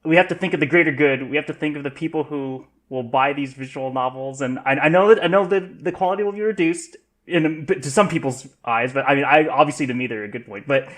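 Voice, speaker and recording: A man chatting casually as a podcast panelist, rambling and halting as he repeats himself. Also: fairly high pitch, fairly bright tone. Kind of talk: casual chat.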